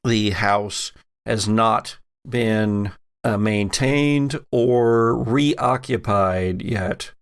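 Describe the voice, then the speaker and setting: Slow, low-voiced man with gravelly creak. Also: dry recording, clean studio sound. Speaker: game master and podcast host. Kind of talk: improvised narration of a tabletop horror game.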